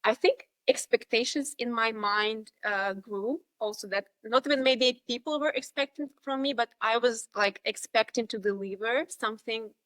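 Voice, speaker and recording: Russian-accented woman, higher voice, dry sound. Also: normal speed, hardly any pauses, fairly bright tone, dry recording. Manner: reflective, frequent fillers and restarts. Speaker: guest, dance instructor. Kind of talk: podcast interview.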